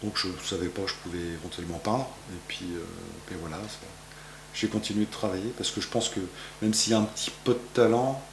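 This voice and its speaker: A man's voice: fairly low pitch, fairly even intonation, fast, reverberant, noisy background. A man in his fifties from France, a painter answering interview questions in his gallery, rambling with many fillers and restarts. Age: 50s. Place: art gallery, indoors.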